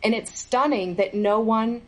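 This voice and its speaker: A woman's voice: fairly high pitch, measured pace, low-quality recording. A middle-aged woman answering interview questions as a former federal employee, speaking earnestly and stressing key words with indignation.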